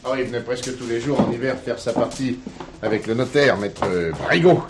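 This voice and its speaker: An actor playing a jovial, folksy village innkeeper who gossips freely in an old television play. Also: male voice, medium pitch, a little fast, lightly acted. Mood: jovial, gossipy. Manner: garrulous, chuckling, folksy with exclamations. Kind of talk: 1970s French television play dialogue. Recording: noisy old TV soundtrack with clicks.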